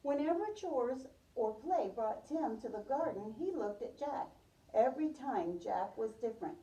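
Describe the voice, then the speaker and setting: A woman teacher reading a picture book aloud to young children, pausing to ask questions and repeating their answers. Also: fairly high pitch, animated, mellow. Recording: roomy, distant microphone, muffled top end.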